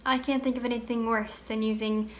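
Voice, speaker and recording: Grieving, candid young girl, acted by a woman, higher-pitched, wistful conversational stage monologue, slightly noisy amateur recording. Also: level tone, close to an everyday voice. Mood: matter-of-fact, wistful. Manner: conversational, wistful storytelling with pauses. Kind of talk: dramatic monologue.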